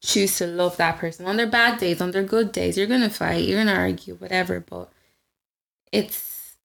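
Woman, gravelly fry in her voice, emphatic casual podcast chat. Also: lowish voice, fairly even intonation, normal speed, fairly bright tone. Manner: emphatic, laughing, often quotes people.

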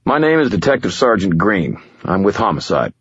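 A man's voice: on the low side, sing-song, normal speed, breathy edge, pitched above the performer's own voice. A man voicing a skeptical homicide detective in his late forties for an audiobook, dry and official. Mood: businesslike. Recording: dull, roomy recording.

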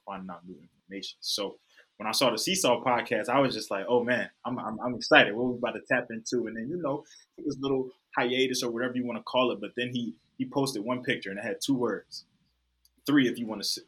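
A man's voice: fairly low pitch, fairly bright tone, slightly rough, low-quality recording. A young adult man hosting a podcast in a casual, upbeat, slangy way. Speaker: podcast host.

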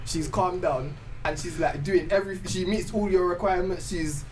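A man's voice: sits high, normal speed. A man of twenty, hosting a casual podcast chat with friends. He laughs often and talks over the others. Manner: laughing, animated, interrupts. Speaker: podcast host. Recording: distant mic with loud crowd noise.

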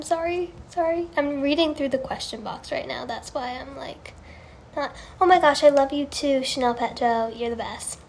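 A woman with a high, girlish voice chatting casually on a livestream, answering fan questions in a gushing, earnest way and often repeating herself. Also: a little hiss or hum.

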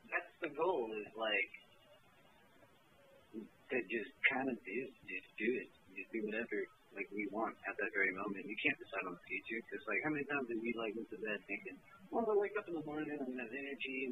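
A man's voice: mid-range voice, expressive, brisk. A man being interviewed about his music, talking in a laid-back, rambling way full of fillers. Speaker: musician guest. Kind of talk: casual interview chat. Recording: tinny phone-like line with faint noise.

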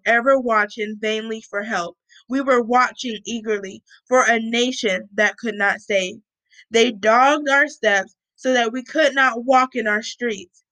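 A woman with a bright, slightly high voice reads scripture aloud at an unhurried pace. She is informal about it, breaking off for casual asides and correcting herself as she goes. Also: poor audio. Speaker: host reading scripture aloud with commentary. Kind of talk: Bible reading with casual asides.

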